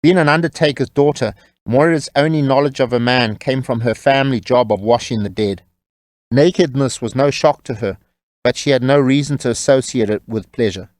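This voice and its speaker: A man narrating a scripted audiobook story in a measured, wry storytelling manner. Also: mid-range voice, darkish tone, no echo.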